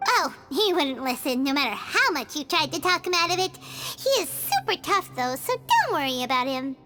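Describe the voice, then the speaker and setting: A tiny, cheeky game fairy with an extremely high, squeaky child's voice. She is perky and swoops all over in pitch, with loud music behind her. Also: big pitch swings, moderate pace. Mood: chatty. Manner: perky, speaks of herself in third person. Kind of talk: English video game voice acting.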